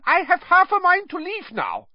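Guy doing a greasy high-voiced schemer headmaster, shrill and huffy, dry sound. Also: wildly swooping pitch, normal speed, dry recording, full character voice. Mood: indignant. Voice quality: unctuous then shrill. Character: oily, scheming middle-aged headmaster, turning furious. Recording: dry, slightly dull audiobook recording.

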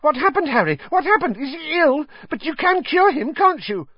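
A squeaky, over-eager young boy, loud and breathless, for a storybook reading. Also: sing-song, moderate pace, no echo, muffled recording. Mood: excited. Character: excitable young boy with a camera.

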